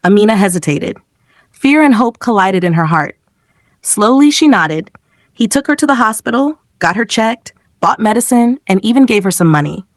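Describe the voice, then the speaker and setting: A woman's voice: mid-range voice, expressive, normal speed, dry recording. Woman narrating a story, close dry sound. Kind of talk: scripted dramatic folktale narration.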